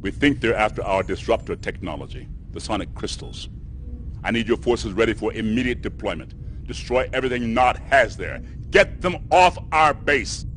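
An American man in his sixties playing a stern, grave army general who speaks in a commanding, deliberate way. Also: fairly low pitch, animated, slight background noise. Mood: grave, urgent. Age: 60s. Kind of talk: acted briefing in game cutscene. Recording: loud dramatic music behind.

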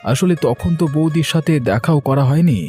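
A man's voice: on the high side, a little fast, dark tone, soft background music, close to an everyday voice. A man voicing an earnest, dutiful young brother in a cartoon moral story, with a familiar way of talking. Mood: eager, apologetic.

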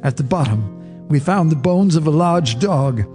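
A man narrating in the first person for a radio drama, measured and grave. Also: a little high, lively intonation, a little mellow. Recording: radio drama with faint music underneath.

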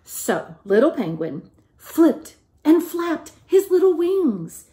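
A middle-aged woman reading a picture book aloud to young children in an expressive teacherly way. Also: high voice, slow. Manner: expressive, questioning, child-directed delivery. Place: living room at home, indoors.

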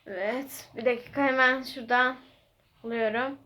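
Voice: medium pitch, steady tone, normal speed, sharp tone. A young-sounding host casually chatting to viewers, prompting a co-player through a homemade guessing game. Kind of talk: casual playful chat to viewers.

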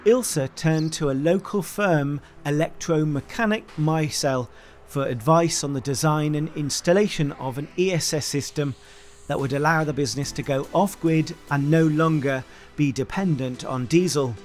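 A man narrating a scripted documentary voice-over in an even, informative way. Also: fairly high pitch, moderate pace, faint music behind.

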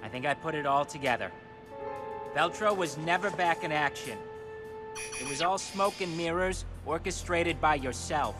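A man's voice: on the high side, moderate pace, music in the background, lightly acted. A man voicing a cocky, jittery young tech-geek field agent in a video game cutscene, talking in a chatty, theatrical way. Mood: assured, reporting.

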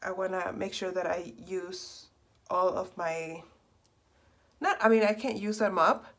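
Young woman kinda hoarse from being sick, rambly makeup chat, close to the camera in her bedroom. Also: fairly low pitch, steady tone, measured pace, a bit bright. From Texas. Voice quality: slightly raspy. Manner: chatty, rambling, self-correcting. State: hoarse from a cold. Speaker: beauty vlogger. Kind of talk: casual get-ready-with-me chat. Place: small bedroom in front of a door.

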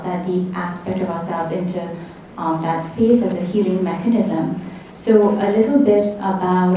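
A woman with a Trinidadian accent, a meditation teacher speaking on a panel in a soft, reflective way with many pauses. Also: on the low side. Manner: soft-spoken, reflective, halting with fillers. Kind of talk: panel talk. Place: conference room with a panel table. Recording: very echoey room, distant mic, faint hiss.

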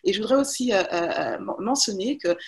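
A woman from French Guiana hosting an interview over a video call in a halting, enthusiastic way. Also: on the high side, animated, gravelly. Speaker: interview show host. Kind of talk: interview hosting, conversational introduction.

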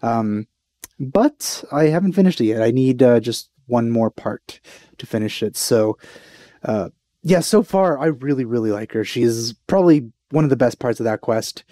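A young man talks informally to his viewers as a reaction host. His voice is mellow and dry, picked up close on a headset. He sounds enthusiastic while keeping it casual. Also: medium pitch, lively intonation, normal speed, no room sound. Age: young adult. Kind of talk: casual chat reacting to a game trailer. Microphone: headset microphone.

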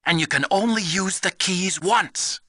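An actor playing an eager young technical adviser in a video game, explaining things earnestly. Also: male voice, sits high, measured pace, pushed and bright, no room sound, studio quality, heavily acted. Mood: earnest, urgent. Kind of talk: expository video game voice acting.

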